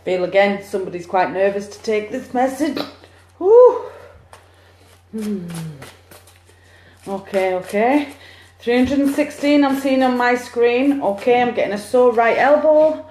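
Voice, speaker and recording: A woman's voice: fairly high pitch, measured pace, a little hiss or hum. Woman medium on a phone livestream, bubbly and chatty, echoey room. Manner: chatty, excitable, cheering on share counts. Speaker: psychic medium. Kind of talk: live-streamed card reading.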